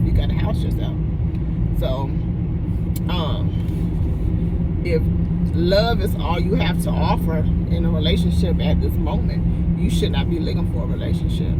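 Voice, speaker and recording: A woman's voice: sits low, heavy background noise. Blunt fifty-year-old woman, distant mic. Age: 50s. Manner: blunt and emphatic, repeats her points firmly; chuckles now and then between statements. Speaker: vlogger. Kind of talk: casual monologue to followers.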